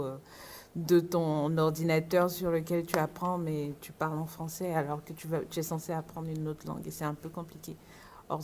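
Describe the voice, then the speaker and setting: Low-pitched woman, relaxed chat. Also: slight background noise. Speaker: student guest. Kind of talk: casual interview answer.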